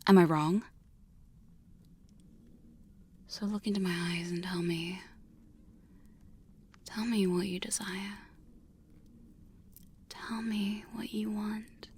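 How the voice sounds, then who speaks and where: Breathy, taunting vampire huntress acted by a woman. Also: mid-range voice, fairly even intonation, a little mellow. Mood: smug, coaxing. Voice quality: breathy, whispery. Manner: taunting, intimate, drawn-out phrasing with sudden firmer lines. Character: defiant, teasing female vampire hunter, sly and coaxing. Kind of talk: ASMR hypnosis roleplay, second-person. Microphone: close-up studio condenser and binaural microphone.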